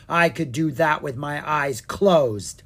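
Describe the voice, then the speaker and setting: A narrator voicing a grumpy, furious male wizard shopkeeper who shouts indignantly in a children's storybook. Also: medium pitch, takes it slowly. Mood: threatening.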